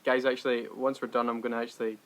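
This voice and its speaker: A man co-hosting a podcast in relaxed, informal conversation. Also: medium pitch, fast talker. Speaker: podcast co-host. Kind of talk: casual podcast chat. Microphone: remote online call.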